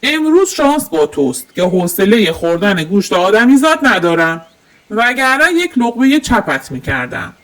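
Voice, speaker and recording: A woman voicing a fat, lazy male wolf in a storybook, loud, bullying and domineering. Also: medium pitch, very animated, lightly acted. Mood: angry, threatening.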